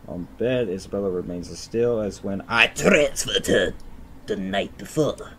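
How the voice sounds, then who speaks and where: A deep-voiced man reads game narration aloud as a streamer. He trips over and repeats phrases as he goes, with faint noise behind him. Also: expressive, a little slow, a bit bright. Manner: stumbles and repeats words while reading.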